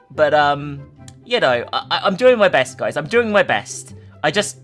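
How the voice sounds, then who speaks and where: Female online host with a lowish voice, casual yet able to narrate dramatically, music underneath. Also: a bit bright. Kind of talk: casual commentary and dramatic story reading. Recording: music behind the voice.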